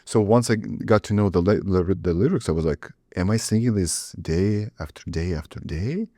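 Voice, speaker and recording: A man hosts a casual interview, asking questions in a halting, measured way. His voice sits low and mellow. The sound is dry and close, with no room around it. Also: no room sound. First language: Russian.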